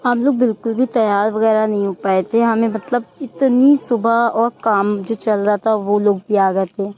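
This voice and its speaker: A young woman with a hoarse voice, chatting casually as a family vlogger. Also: mid-range voice, steady tone, measured pace. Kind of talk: casual vlog narration. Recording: dull lo-fi phone audio.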